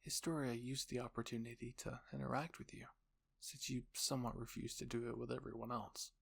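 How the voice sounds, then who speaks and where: Male narrator with a slightly husky, creaky voice. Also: medium pitch, little pitch movement, brisk, a bit bright. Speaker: narrator of a roleplay story. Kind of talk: scripted second-person narration.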